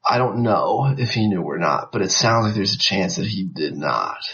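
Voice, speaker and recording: Shrill-voiced man on a lo-fi mic. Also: expressive, normal speed, fairly bright tone. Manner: hesitant, many fillers and restarts. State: tired from a late night. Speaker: baseball draft writer, podcast guest. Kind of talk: casual podcast chat. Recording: lo-fi podcast mic.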